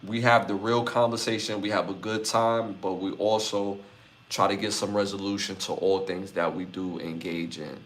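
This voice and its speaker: A man in his forties hosting a live podcast, talking in an easygoing, casual way. His voice sits a little low, and he speaks loosely as he thanks viewers one by one. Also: fairly even intonation, moderate pace. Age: 40s. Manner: laid-back, shouting out viewers by name. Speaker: podcast host. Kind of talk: casual livestream sign-off chat.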